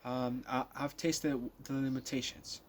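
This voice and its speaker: A man's voice: medium pitch, little pitch movement, a little slow, slight background noise. Rambling nineteen-year-old man vlogging. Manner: rambling, many fillers and repeated restarts. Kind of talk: casual spoken monologue to viewers.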